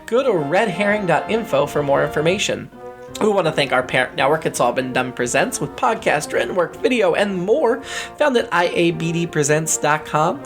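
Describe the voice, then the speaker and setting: An American man with a high voice for a male, speaking as a podcast host and interviewer. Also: expressive, normal speed, faint music behind. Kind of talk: podcast announcements and chatty interview.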